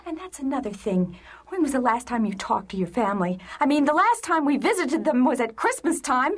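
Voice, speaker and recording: A woman playing a nagging, demanding, exasperated wife in an audio drama, scolding and sharp-tongued. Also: sits high, sing-song, fairly quick.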